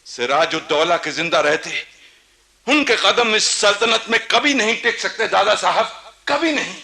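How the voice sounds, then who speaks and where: A proud young Nawab in a radio play. His high voice is piercingly bright and swoops widely. He declaims grandly and takes his time over every phrase. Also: expressive, unhurried, creaky, exaggerated voice. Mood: defiant. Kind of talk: theatrical Hindi radio play acting.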